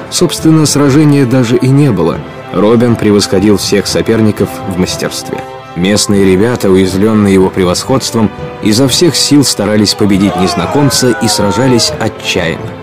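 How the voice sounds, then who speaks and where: A male narrator tells an adventure story for a radio play. His voice is on the low side, expressive and literary, with music underneath.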